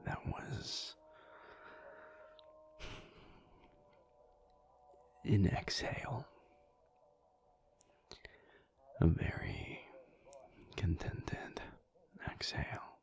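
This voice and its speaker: A man playing a tired, tender alpha werewolf boyfriend in an intimate roleplay audio, murmuring drowsily in a breathy, gravelly near-whisper. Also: low-pitched, huge pitch swings, a little mellow, full character voice. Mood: teasing, reluctant. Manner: slow, drowsy, murmuring with long pauses. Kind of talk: intimate roleplay audio acting, addressed to listener.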